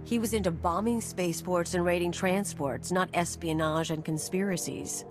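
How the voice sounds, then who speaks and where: A woman's voice: on the low side, normal speed, over music, close to an everyday voice. An actress playing a cynical, tough, self-serving mercenary woman in a video game, with a blunt, wry, streetwise delivery. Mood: defiant.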